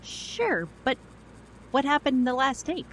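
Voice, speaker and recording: A woman plays a sarcastic, level-headed sidekick with a bright voice and lively pitch. She is wry and teasing, acting in an audio drama. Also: measured pace, slight background noise, full character voice, poor audio. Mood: amused.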